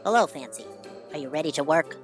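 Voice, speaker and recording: A woman playing a brisk, stern but fair male mouse foreman in an audio drama. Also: deep voice, expressive, faint music behind. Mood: businesslike.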